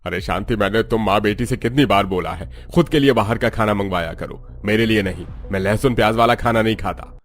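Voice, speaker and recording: A man's voice: on the low side, fairly quick, lightly acted. A man playing a firm, fatherly elderly head of household in a cartoon. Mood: scolding. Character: stern but softening elderly head of household. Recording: background music.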